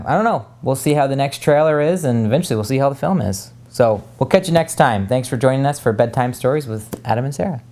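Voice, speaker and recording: A man's voice: medium pitch, darkish tone. A man co-hosting a casual book discussion, giving opinions emphatically and repeating phrases to drive points home. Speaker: co-host of a book discussion video.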